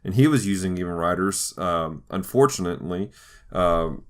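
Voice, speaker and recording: A man hosting a conversational podcast, talking through ideas in a thoughtful, halting way with frequent restarts. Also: sits low, expressive. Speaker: podcast host. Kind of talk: conversational podcast discussion of faith and pop culture.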